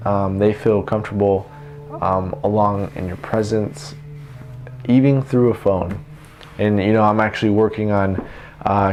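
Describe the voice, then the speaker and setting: Low-pitched young man, casual and halting. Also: darkish tone. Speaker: vlogger giving self-help tips. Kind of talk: casual, unscripted advice monologue. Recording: noticeable background noise.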